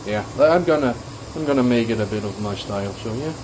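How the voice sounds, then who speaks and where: A man casually narrating a home cooking vlog in a laid-back, somewhat halting way. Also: medium pitch, animated, noisy background. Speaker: home cook, video host. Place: indoors in a home kitchen.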